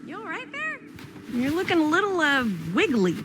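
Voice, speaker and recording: An actress playing a plucky young amateur filmmaker in a video game drama, talking in a casual, playful, upbeat way. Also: female voice, very high-pitched, very animated, normal speed, noisy background. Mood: concerned. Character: plucky, joking teenage girl filmmaker.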